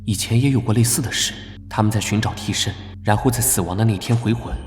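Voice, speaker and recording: An actor playing a serious, easily exasperated young male investigator in an animated drama, blunt and no-nonsense. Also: fairly low pitch, fast, slight background noise, close to an everyday voice. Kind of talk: Chinese animated drama dubbing.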